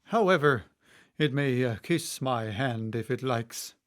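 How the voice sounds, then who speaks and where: A man's voice: mid-range voice, slow pace, lightly acted. A man voicing a fussy, pompous storybook king with a decided way of talking. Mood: haughty.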